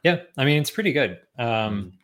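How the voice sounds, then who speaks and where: A young man talking as a guest on a podcast, relaxed, with lots of ums and likes and frequent chuckles. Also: mid-range voice, moderate pace. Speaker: podcast guest, tech founder. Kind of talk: casual interview chat.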